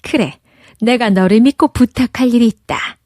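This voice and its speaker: A woman's voice: a little high, moderate pace, darkish tone, dry recording, clean studio sound, lightly acted. A woman voicing a vain, cruel witch queen in a storybook, with an imperious, scheming manner. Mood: coldly commanding.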